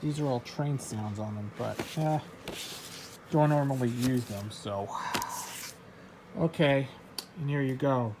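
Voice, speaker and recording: A man casually showing his record collection, reading out titles in a halting, offhand way. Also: medium pitch, background noise. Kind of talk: casual show-and-tell vlog.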